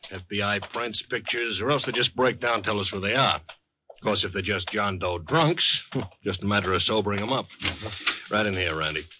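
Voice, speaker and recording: A man's voice: lowish voice, normal speed, lightly acted. A man playing an obliging, seasoned American police sergeant in a 1950s radio drama, casual and matter-of-fact. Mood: helpful. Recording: dull noisy vintage radio recording.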